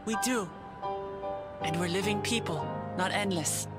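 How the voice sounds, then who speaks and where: A woman acting a gentle, slow-spoken heroine. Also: medium pitch, over loud music, close to an everyday voice. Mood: solemn, reassuring. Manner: measured, earnest, consoling. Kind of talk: fantasy game voice acting.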